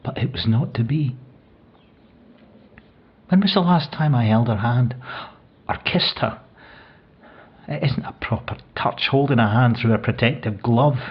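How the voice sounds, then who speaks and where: An actor playing an older widowed man in an audio play, grieving and tender, speaking in a hushed, halting, reflective way with a husky voice. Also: mid-range voice, dull audio. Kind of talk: audio play dramatic monologue.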